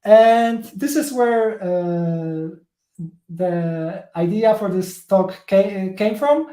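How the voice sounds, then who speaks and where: A man with a Polish accent, a native Polish speaker, gives a live coding talk that is halting and full of fillers and restarts. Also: high-pitched, expressive, mellow, roomy sound. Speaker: tech presenter.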